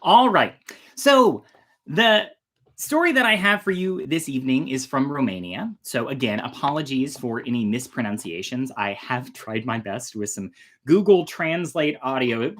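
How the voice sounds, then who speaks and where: A woman hosting a storytime, reading a fairy tale in a theatrical, deliberate way with casual asides. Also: extremely low pitch, expressive, a bit bright. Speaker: storyteller and host.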